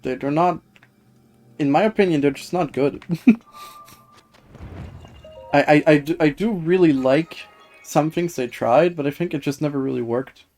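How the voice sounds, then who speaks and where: A man live streaming a video game, chatting casually with viewers in a hesitant, halting way that often repeats words. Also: on the high side, darkish tone. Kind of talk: casual chat while gaming, reading and answering viewer comments. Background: video game music.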